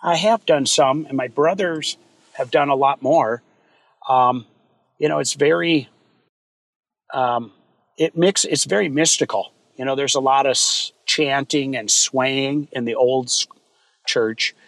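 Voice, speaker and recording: A man from Minnesota, a guest in a podcast interview, talking in a relaxed, rambling way with many fillers. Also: mid-range voice, measured pace, no echo. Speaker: guest, wildfire fighter. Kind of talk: casual interview chat.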